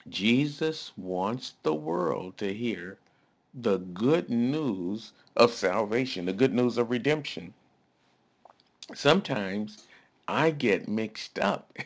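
Slow, gravelly-voiced male preacher. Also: fairly low pitch. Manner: emphatic, folksy, asks the audience rhetorical questions. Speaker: pastor. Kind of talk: conversational sermon.

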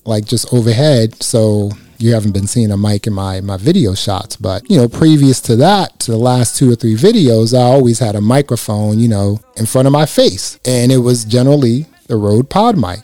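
A man with a slightly raspy voice reviewing a microphone in a casual, chatty way, speaking close into a dynamic mic. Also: medium pitch, moderate pace, few pauses, dry recording. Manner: relaxed, chatty, many "you know" fillers. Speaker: gear reviewer. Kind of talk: casual product review to camera. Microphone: close dynamic microphone through a processor.

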